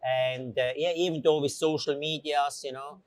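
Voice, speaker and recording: A middle-aged man from Switzerland chatting as an interview guest, speaking in a halting, conversational way with frequent "you know" asides. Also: fairly high pitch, steady tone, slight background noise. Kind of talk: casual interview answers.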